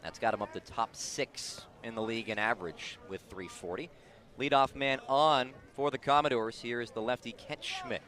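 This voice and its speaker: Bright-voiced man calling baseball on radio. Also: medium pitch, normal speed. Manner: clipped play calls that rise with the action. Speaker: baseball play-by-play announcer. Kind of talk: live sports radio commentary. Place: outdoors at a ballpark.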